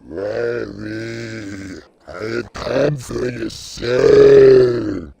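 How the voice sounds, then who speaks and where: A sinister, reaper-like ghost for an audio drama. The words crawl out extremely slowly in a heavily creaking, husky voice. The sound is dry and close. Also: male voice, medium pitch, steady tone, dark tone, no room sound, heavily acted. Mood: mock menacing. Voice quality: gravelly, husky, drawn-out. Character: mock-menacing male ghost playing the sinister reaper.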